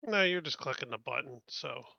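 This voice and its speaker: A man's voice: mid-range voice, expressive, brisk, vocal fry, sharp tone, no room sound, muffled recording. A man playing a tabletop game, chatting casually and giving his choices in a direct, decisive way.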